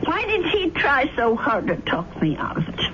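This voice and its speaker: A woman's voice: high-pitched, huge pitch swings, normal speed. An actress playing an elderly, fussy, stubbornly righteous landlady in a radio drama, with earnest, old-fashioned phrasing. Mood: insistent, earnest. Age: older. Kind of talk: 1970s radio drama acting. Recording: noisy, muffled old radio broadcast.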